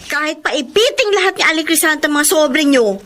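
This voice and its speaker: An actress playing a polite, sensible young niece in a Filipino radio drama, earnest and helpful, with a Filipino accent. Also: female voice, sits high, lively intonation, normal speed, fairly bright tone. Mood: reassuring. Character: respectful, sensible young niece, eager to soothe and help.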